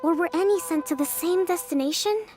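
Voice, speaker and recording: A woman playing a clever, composed young shrine priestess in an anime game, speaking in a measured, analytical way. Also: very high voice, music in the background. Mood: calm, probing. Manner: measured, analytical, gently authoritative.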